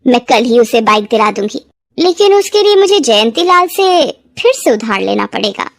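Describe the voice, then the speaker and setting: A woman's voice: a little high, big pitch swings, normal speed, vocal fry, dry recording, studio quality. A woman voicing a stepmother in a moral story, bossy and resentful, who dotes on her son. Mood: worried. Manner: scolding, bossy.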